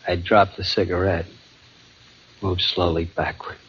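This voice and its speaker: An actor playing a haunted young adventurer in an old radio thriller, with dramatic, suspenseful, deliberate delivery. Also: male voice, sits low, flat delivery, fairly bright tone, a little hiss or hum. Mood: quiet tension. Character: haunted, guilt-ridden young adventurer narrating his terror at sea. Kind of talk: 1940s-50s radio drama narration and acting. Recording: dull vintage radio recording with prominent music.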